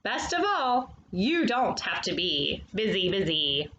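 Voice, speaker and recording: A woman reading a children's nonfiction story aloud, addressing young listeners directly with questions and pauses. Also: medium pitch, very animated, fairly bright tone, a little hiss or hum, low-quality recording.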